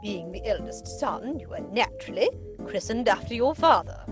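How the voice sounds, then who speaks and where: A woman acts a haughty older aunt of high society in a comic play. Her delivery is pompous and very animated, over a faint musical score. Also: moderate pace, music in the background, natural voice. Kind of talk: stage comedy dramatic reading.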